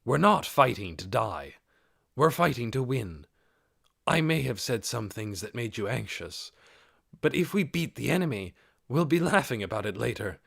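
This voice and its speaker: A man voicing a calm, sincere adult male lizardfolk warrior in an audiobook, speaking steadily. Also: medium pitch, normal speed, creaky, no room sound, studio quality, full character voice. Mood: earnest, reassuring. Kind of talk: audiobook character acting.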